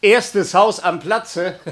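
An actor playing an ironic, courteous travelling companion in a radio drama, with a polite, wry way of talking. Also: male voice, high-pitched, a bit bright, exaggerated voice.

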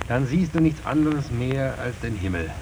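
A man's voice: medium pitch, expressive, moderate pace, close to an everyday voice. A man playing a dreamy, defiant mine worker with bitter irony, musing and theatrical in an old German radio drama. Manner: musing, theatrical, swinging from soft wonder to outcry. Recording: noisy archival radio play recording.

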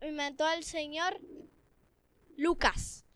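A woman's voice: high-pitched, steady tone, slow pace, crowd noise. A woman acting in an improvised exercise, with a little noise behind her. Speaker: improv theatre student. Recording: faint background noise.

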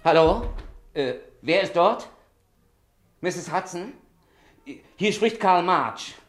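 Haughty, higher-voiced male crime boss. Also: expressive, slow, faint music behind. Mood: urgent. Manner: haughty, mock-courteous, protesting. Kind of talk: German radio crime drama acting.

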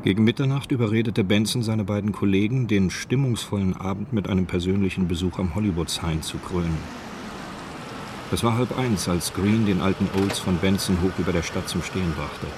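A man's voice: low voice, fairly quick, background noise, close to an everyday voice. A man as a sober storyteller, reading scripted literary narration of a crime story in a radio drama. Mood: measured.

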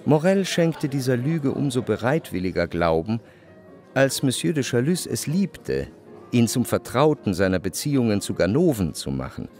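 A man narrating a literary radio play in a measured, faintly ironic manner. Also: mid-range voice, big pitch swings, darkish tone, faint music behind.

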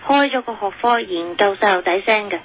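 A man's voice: high voice, fairly quick, noisy background. A man playing a chatty grown-up, in a comic sketch.

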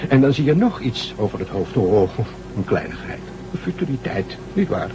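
An actor in a Dutch radio drama playing a stern, proud spaceship commander who talks with sarcastic authority. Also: male voice, medium pitch, sing-song, normal speed. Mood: sardonic. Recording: muffled, noisy old radio drama recording.

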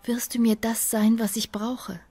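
A woman's voice: on the high side, little pitch movement, measured pace, warm tone, no room sound. An actress in a radio play, playing an earnest, disillusioned young woman in love, intimate and searching in manner. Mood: grave, resolute. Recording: close dry studio with faint music.

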